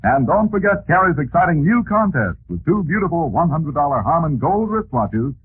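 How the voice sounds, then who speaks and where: A man's voice: a little high, sing-song, normal speed, slightly rough. A man with an American accent reading scripted advertising as a 1940s radio announcer. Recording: tinny, hissy 1940s radio recording.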